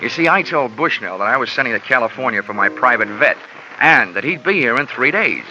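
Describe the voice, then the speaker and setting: A man's voice: medium pitch, very animated, a little fast, pushed and bright, a little hiss or hum. A man playing the lead and narrating in an old radio drama, in a dry, wisecracking, hard-boiled manner. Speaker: insurance investigator narrator and lead. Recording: dull lo-fi old radio broadcast with music.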